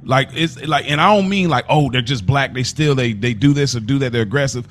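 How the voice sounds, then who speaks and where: A man's voice: medium pitch, fairly quick, fairly bright tone, soft background music. A man giving impassioned opinions as a podcast panelist, talking in informal slang and repeating himself for emphasis.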